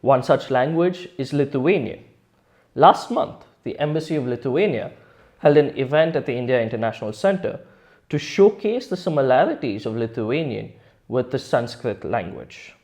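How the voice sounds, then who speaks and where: A man's voice: medium pitch, lively intonation, normal speed, a little mellow. A man hosting a news interview, giving a formal introduction and asking slightly hesitant questions. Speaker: interviewer, host.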